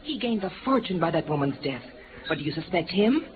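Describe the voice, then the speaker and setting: An insistent, guarded lady in a vintage radio noir serial. Her delivery is emphatic and dramatic. The recording is thin and band-limited, with clear noise behind her. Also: lowish voice, big pitch swings, fairly quick. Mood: bitter, indignant. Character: insistent, defensive society woman with secrets. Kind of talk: 1950s radio drama acting. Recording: noisy, narrow old radio recording.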